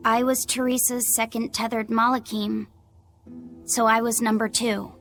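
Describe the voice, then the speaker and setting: A woman's voice: on the high side, flat delivery, moderate pace, fairly bright tone, faint music behind. A woman voicing an innocent, bookish young boy spirit in a video game, earnest and hesitant. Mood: hesitant, surprised. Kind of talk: video game cutscene acting.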